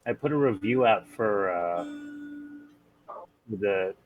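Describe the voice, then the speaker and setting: A man's voice: fairly low pitch, lively intonation, slow pace, narrow, tinny audio, soft background music. A man in casual chat as a player in a tabletop role-playing game.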